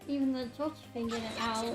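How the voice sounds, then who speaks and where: A woman game streamer chatting casually over her play, her voice slightly croaky from a cold, with relaxed talk and frequent fillers. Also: on the high side, moderate pace, over music. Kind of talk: casual let's-play commentary.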